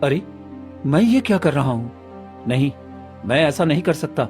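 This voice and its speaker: A man's voice: fairly high pitch, sing-song, moderate pace, a little mellow, faint music behind. A man playing an earnest, remorseful villager in a Hindi cartoon moral story. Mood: surprised, wary. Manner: pleading, earnest.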